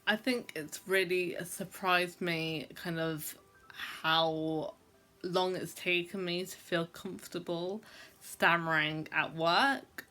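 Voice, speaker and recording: A woman's voice: on the low side, bright, forceful tone. A young adult woman who stammers, talking reflectively to camera in a personal vlog, with frequent fillers and repeated sounds. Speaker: vlogger.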